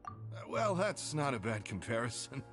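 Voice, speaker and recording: A polite, eager guide in a video game played by a man. His mid-pitched voice is lively and varied, courteous and helpful, with clear music behind. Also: moderate pace, close to an everyday voice. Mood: welcoming. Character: polite, eager male society guide explaining amenities.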